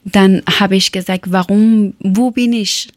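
Slow-talking woman with a Persian accent. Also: mid-range voice, steady tone, dry recording, studio quality. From Iran. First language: not German. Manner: halting, searching for words, repeats herself. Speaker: former teacher telling her life story. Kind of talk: personal spoken account.

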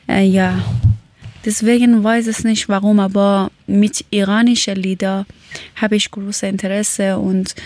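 A woman who speaks slowly and keeps stopping to hunt for the right word. Her voice stays flat, with little rise or fall, and the sound is close and dry. She talks like someone casually interviewing a child. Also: medium pitch, no room sound. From Iran. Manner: hesitant, searching for words.

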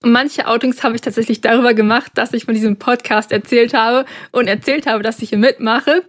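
A woman's voice: on the high side, fairly even intonation, brisk. A young woman co-hosting a podcast, speaking earnestly and carefully about her own experiences. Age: young adult. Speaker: podcast co-host. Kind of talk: casual podcast conversation.

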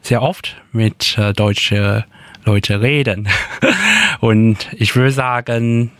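A young man from Taiwan speaking German with a Taiwanese accent as a radio interview guest, pausing often with fillers while he searches for words. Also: mid-range voice, lively intonation, a bit bright, no echo. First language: Taiwanese Hokkien and Mandarin.

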